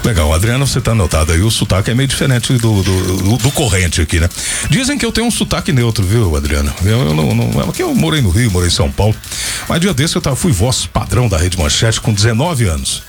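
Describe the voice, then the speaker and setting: A man's voice: lowish voice, very animated, quick pace, gravelly, faint music behind. A man in his fifties hosting a radio show, chatting casually and rambling off into stories and asides. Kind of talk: casual on-air chat and storytelling.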